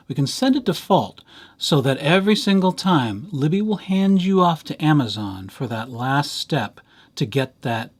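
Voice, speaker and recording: A man giving an informal software tutorial as a library instructor, talking conversationally with lightly playful asides. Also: medium pitch.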